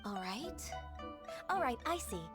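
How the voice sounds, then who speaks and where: Ganyu, a soft-spoken ageless secretary. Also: female voice, fairly high pitch, big pitch swings, a little slow. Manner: soft, polite and composed. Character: gentle, ageless female secretary of half-divine blood. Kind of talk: game cutscene dialogue. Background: game background music.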